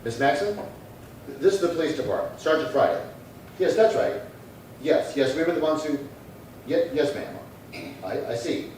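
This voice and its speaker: A man playing a calm, dutiful police sergeant in an old-time radio crime drama, speaking in a clipped, matter-of-fact, polite way. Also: a little high, moderate pace, pauses often, close to an everyday voice. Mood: businesslike. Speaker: actor. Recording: echoey room from far off, faint noise.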